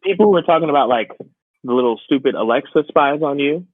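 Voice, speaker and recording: A man's voice: a little high, expressive, fairly quick, no echo. A man co-hosting a podcast in casual chat, opinionated and incredulous in the way he talks. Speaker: podcast co-host. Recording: thin phone-like radio sound, dry.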